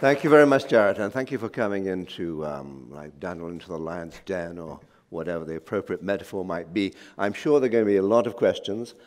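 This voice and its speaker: A man's voice: low voice, brisk, hardly any pauses, some chatter behind. A man moderating a conference session, light and joking as he calls on the audience.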